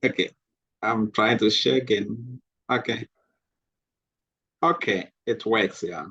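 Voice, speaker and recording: A slow-speaking man presents for his group in an online workshop. He is polite and halting, leaning on fillers. The call audio is dry and somewhat dull. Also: lowish voice, a bit bright, slightly rough, no echo. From Ghana. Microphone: video call.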